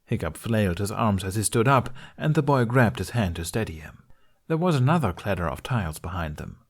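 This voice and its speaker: Guy with a fairly deep voice narrating a story, podfic style. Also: lively intonation, fairly quick. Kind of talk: scripted story narration, audiobook-style reading.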